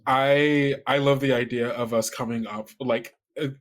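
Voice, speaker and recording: A man's voice: medium pitch, steady tone, a little slow. A giggly, enthusiastic man casually building a story in a tabletop role-playing game.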